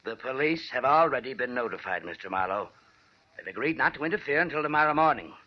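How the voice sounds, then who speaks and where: Actor as crusty, animated old rich uncle. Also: male voice, mid-range voice, fast, natural voice. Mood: crusty, grudging. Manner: gruff, imperious. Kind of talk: 1940s radio acting. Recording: dull old radio recording.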